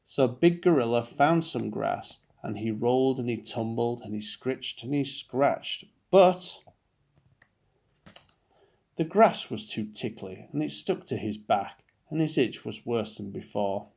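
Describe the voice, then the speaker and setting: A man reads a children's story aloud in the style of a dad with a picture book. His phrasing is expressive and deliberate, and his voice carries a noticeable creak. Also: medium pitch.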